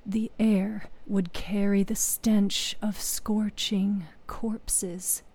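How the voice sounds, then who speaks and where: A woman narrating a scripted fantasy story in a solemn, dramatic manner with hushed phrase endings. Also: medium pitch, flat delivery, drawn-out words, husky.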